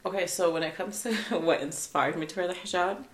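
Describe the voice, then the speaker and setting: A woman's voice: fairly low pitch, fairly quick, echoey room. A woman in her twenties from Canada, a podcast guest talking casually, chatty with frequent laughs and fillers. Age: 20s.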